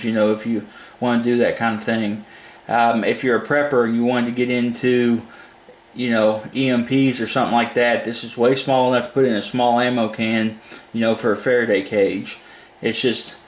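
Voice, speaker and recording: Male video host, mid-pitched, casual and rambling product walkthrough, plainspoken, recorded with noticeable room echo. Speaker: video host demonstrating a CB radio. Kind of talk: casual unscripted product demo. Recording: roomy echo with faint background noise.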